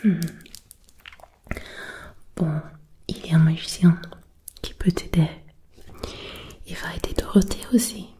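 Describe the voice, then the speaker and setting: A woman whispering as a kindly, curious good fairy in an ASMR fantasy roleplay, gentle and breathy, with halting, unhurried phrases. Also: on the low side, fairly even intonation, exaggerated voice. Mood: kindly, reassuring.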